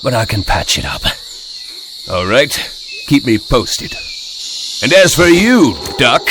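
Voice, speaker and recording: A commanding game-cartoon soldier with a sarcastic streak. He talks at a slow pace with lively swings in pitch, over loud ambient noise. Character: gruff wisecracking male soldier squad leader. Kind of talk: animated action game cutscene acting. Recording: loud outdoor ambience and effects behind.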